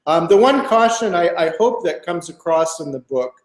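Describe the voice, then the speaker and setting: Brief: man in his 60s from Minnesota, interview guest, thoughtful and hesitant, slightly high voice. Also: lively intonation, normal speed, roomy sound, rough recording. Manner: thoughtful, many fillers and restarts. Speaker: guest author, professor. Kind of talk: relaxed interview answer.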